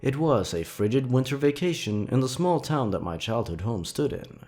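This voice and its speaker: A man narrating scripted creepy stories in a dramatic, suspenseful, theatrical way with drawn-out pauses. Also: mid-range voice, big pitch swings, a little mellow, faint music behind. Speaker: horror story narrator and channel host.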